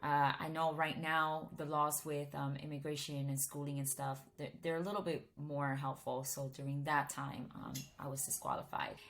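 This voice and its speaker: A woman's voice: deep voice, normal speed, creaky, few pauses, fairly bright tone. A woman in her thirties from Miami gives a casual, conversational personal testimony to camera, often restarting her sentences. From Miami, Florida, United States.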